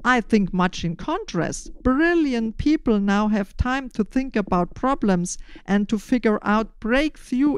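Older woman, podcast host, smooth clear voice, dry close sound, deliberate phrasing. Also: fairly high pitch, a little mellow, no room sound. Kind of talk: prepared podcast explanation.

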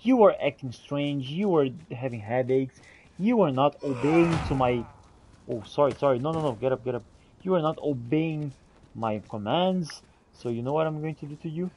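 A man's voice: a little high, expressive, moderate pace, a little mellow, a little hiss or hum. A man playing a fantasy role-playing game, talking in character to his companions as he comments. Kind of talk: improvised in-character gameplay commentary.

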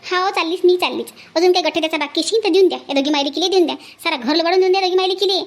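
A woman voicing a spiteful, sulking aunt in an amateur cartoon comedy, sharp-tongued and sarcastic, with a Varhadi Marathi accent. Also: very high-pitched, rapid-fire. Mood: bitter sarcasm. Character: spiteful, sulking aunt refusing a wedding duty.

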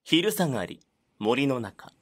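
A man's voice: mid-range voice, sing-song, takes it slowly. A man narrating a voice drama in scripted storytelling style.

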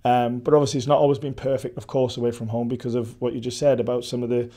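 A Welsh football coach in his forties answers post-match questions indoors, close to the mic. His voice is mellow and dark, and his delivery stays level, with frequent restarts and fillers as he thinks aloud. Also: medium pitch, level tone, fast talker. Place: indoor press backdrop at a stadium.

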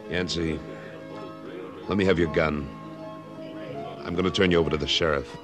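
Casting: hard, curt cowboy boss, deep bass, old radio drama with musical backing. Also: male voice, steady tone, normal speed, music in the background. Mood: stern. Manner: curt, commanding. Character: tough, terse western cattleman, former cavalryman. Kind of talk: 1950s radio western acting. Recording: vintage radio drama recording.

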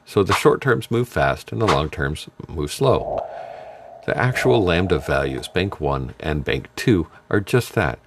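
Deep-voiced middle-aged man explaining tech. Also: measured pace, very noisy. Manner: steady, explanatory with dry jokes. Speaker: automotive tuning instructor. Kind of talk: scripted technical explainer. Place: dark studio set with purple lights. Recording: music bed underneath.

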